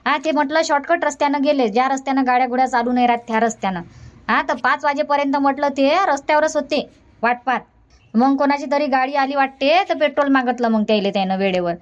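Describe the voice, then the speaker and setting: Cartoon dub: woman as high-pitched scolding village aunt, Vidarbha accent, muffled lo-fi sound. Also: quick pace, close to an everyday voice. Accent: Vidarbha Marathi. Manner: nagging, lecturing, long run-on scolding.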